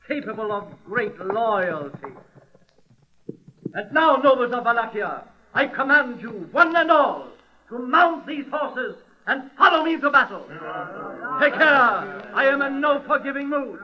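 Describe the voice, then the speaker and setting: An actor playing an imperious, vengeful governor, pompous and commanding in old radio drama style. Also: male voice, extremely high pitch, background noise. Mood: stern command. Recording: muffled lo-fi old radio recording.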